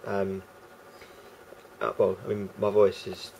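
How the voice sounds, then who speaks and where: A man with a croaky voice talking casually to camera as a vlogger, rambling and hesitant with many fillers and restarts. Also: deep voice, completely flat delivery, takes it slowly, mellow, slight background noise, poor audio.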